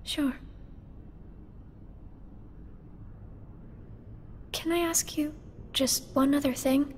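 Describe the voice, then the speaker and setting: A woman playing a troubled, gentle teenage girl in a video game cutscene, speaking earnestly and hesitantly. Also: high voice, steady tone, measured pace, slight background noise. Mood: uncertain, searching. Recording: music behind.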